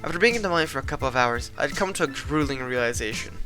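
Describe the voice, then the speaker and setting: Young male vlogger, crisp bright tone, deadpan comic narration, faint music underneath. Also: medium pitch, fast. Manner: deadpan mock-epic, swears casually. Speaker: gaming vlogger. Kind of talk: comedic scripted narration over gameplay.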